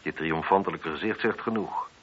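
Probing male police inspector, radio play, husky, dry, sardonic, commanding, dull lo-fi sound. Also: low voice, a bit bright. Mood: sceptical, sardonic. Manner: dry, sardonic, brisk with subordinates. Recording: muffled, lo-fi radio drama recording.

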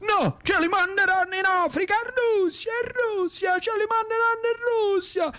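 A man's voice: very high-pitched, moderate pace, sharp tone, dry recording, voice pushed up. A man playing an angry old socialist father and war veteran in one-man radio theatre, cursing in a central Italian rustic dialect. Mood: indignant, anguished.

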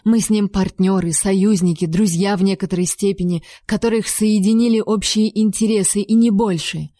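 A fiery young heroine with a warm, mellow voice, as a woman reader raises her pitch for her. Her words land with heat and emphasis. The sound is dry and close. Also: steady tone, moderate pace, no echo, close to an everyday voice. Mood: indignant. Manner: heated, emphatic accusations.

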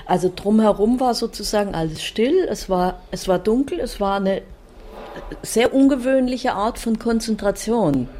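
A woman's voice: medium pitch, moderate pace, warm tone, a little hiss or hum. A woman reminiscing in conversation in a reflective way, searching for her words as she goes.

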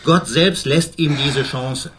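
An actor playing an older, eminent defence lawyer in a radio crime drama, speaking in a measured, rhetorical way. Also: male voice, on the high side, fairly bright tone, slight background noise. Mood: solemn conviction. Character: eminent male defence lawyer, humane, principled, eloquent. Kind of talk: German radio drama acting.

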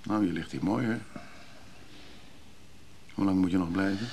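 A man's voice: deep voice, moderate pace, a little mellow, a little hiss or hum. An actor playing a blunt, independent man in a radio drama, brusque and offhand. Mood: gruff, casual. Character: blunt, independent man, former drinker, weary of women.

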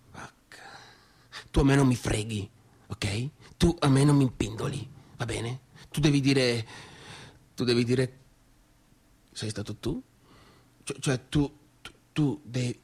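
Volatile young northeastern Italian boss. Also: male voice, mid-range voice, darkish tone, husky. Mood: muttered anger. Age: young adult. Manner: colloquial, halting, repetitive, swearing. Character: young Italian small-factory boss, obsessive, volatile, aggrieved. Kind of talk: theatrical monologue for radio theatre.

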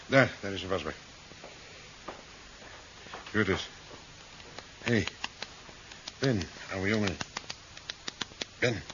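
An actor playing a calm, caring and practical scientist in a 1970s science fiction radio drama, speaking in a natural conversational way. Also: male voice, sits low, takes it slowly, long pauses. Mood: concerned, soothing. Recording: dull, noisy 1970s radio recording.

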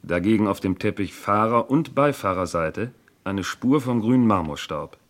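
A diligent police inspector played by a man in a radio crime play. His voice is low, and he itemises things precisely, in a matter-of-fact, methodical manner. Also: animated, normal speed, natural voice. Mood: factual, methodical. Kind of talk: German radio crime drama acting.

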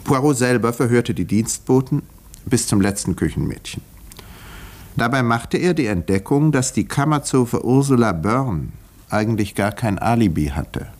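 An actor playing a wry country doctor who narrates a 1950s German detective radio play with dry, measured irony. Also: male voice, medium pitch, animated, a little mellow, lightly acted. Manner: dry, ironic, measured storytelling. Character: male English country doctor, wry, observant detective's companion.

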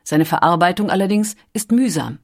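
A woman reading scripted documentary audiobook narration in a measured, articulate storytelling manner. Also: fairly low pitch, expressive.

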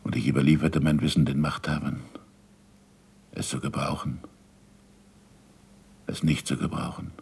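An actor playing an ageing, disillusioned scientist in a German radio play, gravelly and husky, with deliberate, weighty delivery. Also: male voice, rumbling low, little pitch movement, a little mellow, slight background noise. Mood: bitter, solemn. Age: older. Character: ageing, disillusioned scientist, bitter, self-accusing, fond of food.

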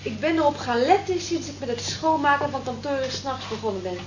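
A chatty wistful cleaning lady in an old radio play, high voice, roomy muffled sound. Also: animated, brisk, background noise. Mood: chatty, musing. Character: Molly, wistful, observant working-class night office cleaner.

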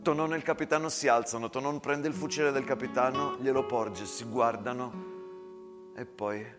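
A man narrating a dramatic war story as a stage storyteller, measured, in short phrases parted by long pauses. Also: medium pitch, fairly even intonation. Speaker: actor and storyteller. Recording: long hall reverb with guitar music. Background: guitar music.

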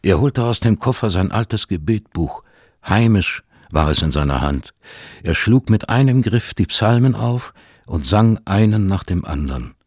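A man narrates a literary radio play in a low, husky voice. He tells the story in measured, weighted phrases, recorded very dry with no room sound. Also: animated, a little mellow, no echo.

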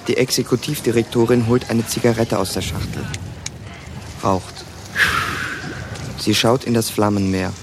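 An actor as a detached narrator, reading stage directions tersely and matter-of-factly in a radio play. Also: male voice, mid-range voice, steady tone, unhurried, long pauses, noisy background, natural voice. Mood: detached, factual. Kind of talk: scripted narration.

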